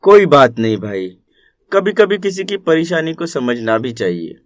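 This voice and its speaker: A man voicing a humble, kind, earnest young rickshaw driver in an animated moral story. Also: fairly high pitch, a little slow, dry recording. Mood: reassuring. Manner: earnest, considerate.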